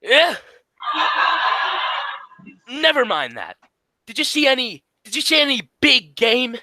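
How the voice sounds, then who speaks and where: A woman's voice: high voice, sing-song, takes it slowly, bright, forceful tone, no echo, exaggerated voice. A woman playing a blustery, exasperated male straight man in an old-time radio comedy, scolding and repeating phrases emphatically.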